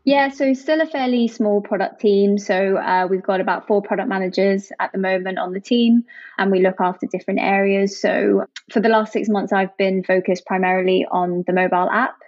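An upbeat young woman from Jersey talks through her work as a guest, chatty and open, leaning on "actually" and "you know". Her voice sits in a middle pitch. The sound is dry and a little dull. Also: fairly even intonation, moderate pace, a little mellow, dry recording. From Jersey, Channel Islands. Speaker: product manager, guest. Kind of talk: conversational interview answers.

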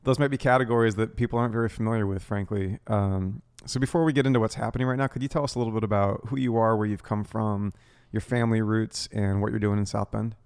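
A man's voice: on the low side, fairly even intonation, quick pace, a little mellow, clean tone, dry recording. A man pastor speaking earnestly and measuredly to his congregation, then interviewing a guest. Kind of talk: church talk and interview.

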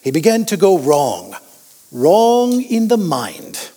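Husky, swooping, pompous old gentleman. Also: a little high, wildly swooping pitch, slow pace, in a large hall, exaggerated voice. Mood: indignant. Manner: pompous, blustery. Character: old, crusty, pompous Victorian gentleman doctor. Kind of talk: period audio drama acting.

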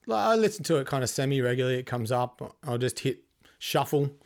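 Guy hosting a music chat, asks stuff, easygoing, mid pitch. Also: animated, brisk, creaky. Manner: conversational, prompting questions. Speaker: podcast host. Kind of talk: casual music discussion.

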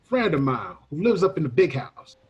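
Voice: mid-range voice, sing-song, normal speed, darkish tone, slightly rough, highs cut off. An actor playing a wary, streetwise small-time male informant in a comic old-time radio play, slangy and tough-talking. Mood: sly, reluctant.

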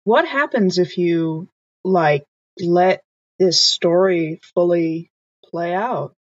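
A woman playing a tabletop game, chatting casually and thinking aloud in halting questions. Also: fairly low pitch, dry recording, muffled recording. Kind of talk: casual improvised game chat.

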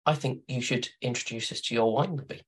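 A man's voice: mid-range voice, fairly quick, slight background noise. A man hosting a podcast, telling rambling, playful stories in casual chat. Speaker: podcast host.

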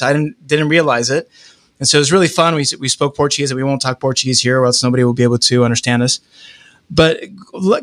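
A man's voice: medium pitch, a bit bright, dry recording, studio quality. A man from Brazil hosting a podcast, chatting upbeat and casually with his guests. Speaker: podcast host.

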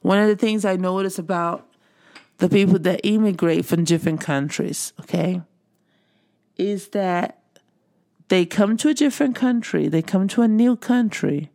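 A woman's voice: mid-range voice, moderate pace, gravelly, dark tone. A middle-aged woman from Brazil with a Brazilian Portuguese accent, giving an earnest motivational talk to viewers and repeating phrases for emphasis. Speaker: motivational speaker. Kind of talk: encouraging monologue to viewers.

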